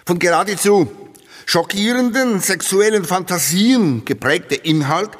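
A man's voice: sits high, a little slow. A man playing a pompous literary lecturer, speaking in ornate, mock-solemn and emphatic scripted phrases. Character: pompous literary lecturer eulogizing an obscure lady diarist. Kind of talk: satirical scripted lecture with read-out quotations. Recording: long reverberant hall.

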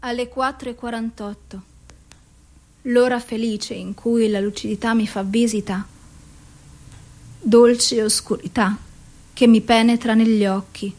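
An actress playing a tormented, despairing woman in a poetic radio drama monologue, speaking in deliberate, intense phrases broken by pauses. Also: a little high, steady tone, darkish tone, lightly acted, poor audio. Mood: resigned, haunted. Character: tormented suicidal woman, broken doll telling her despair.

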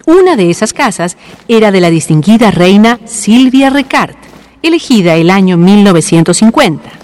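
A woman narrates a radio drama from a script. Her voice sits at a medium pitch and moves with lively, expressive intonation. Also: normal speed.

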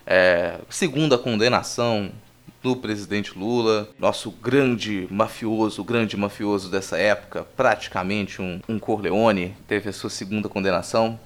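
A man's voice: on the low side, fairly even intonation, measured pace. A Brazilian man hosting a podcast, giving articulate, wry commentary in a discursive, conversational way. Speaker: podcast host.

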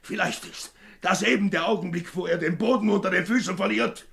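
An actor playing a desperate, domineering ship's captain in a 1950s German radio drama, agitated, barking orders and stammering repetitions. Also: male voice, sits high, brisk, gravelly, fairly bright tone. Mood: desperate, commanding. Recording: noisy 1950s radio recording.

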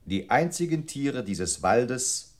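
A computer's reciting unit in a 1960s radio drama. The delivery is earnest and formal, with widely swinging intonation and carefully articulated, declamatory phrases at a middle pitch. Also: male voice, big pitch swings, takes it slowly. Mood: logical, emphatic. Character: male computer memory unit, earnestly reciting processed verse.

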